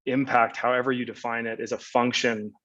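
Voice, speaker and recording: A man's voice: medium pitch, little pitch movement, brisk, fairly bright tone. A man explaining his company's approach as a webinar panelist, over dull, muffled online call audio.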